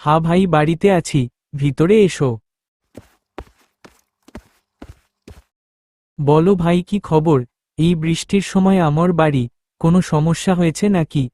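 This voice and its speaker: A man's voice: fairly high pitch, fairly even intonation, moderate pace, no echo, close to an everyday voice. A man playing a worried, deferential village father in a cartoon, with a plaintive, friendly manner. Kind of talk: cartoon voice acting. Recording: loud ambient rain noise behind.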